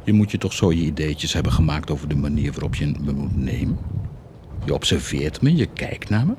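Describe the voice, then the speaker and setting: Low-voiced, mocking army commander, noisy recording. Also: male voice, animated, fairly quick. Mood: mocking, sardonic. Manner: sardonic, commanding, taunting. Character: cruel, mocking, volatile male army commander. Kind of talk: theatrical radio drama acting.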